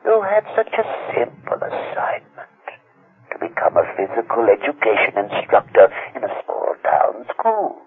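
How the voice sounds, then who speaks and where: A man's voice: fairly low pitch, wildly swooping pitch, moderate pace, phone-quality sound, a little hiss or hum. A man acting in a radio drama as a condescending, controlling male handler, avuncular yet coldly menacing, with theatrical emphasis. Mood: scolding. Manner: patronizing, theatrical, swooping emphasis. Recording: thin, band-limited old radio sound.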